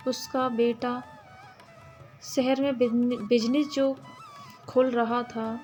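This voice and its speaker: A woman narrating a scripted story as a storyteller, in a halting delivery that breaks phrases mid-sentence. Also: a little high, flat delivery, a little mellow. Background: background music.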